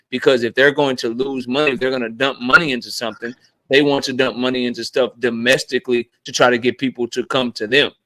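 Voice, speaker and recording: A man hosts a live boxing debate stream, making his case in a blunt, argumentative way and swearing freely. He speaks at a medium pitch. The recording is dry, with no room sound. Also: a bit bright, no echo, poor audio.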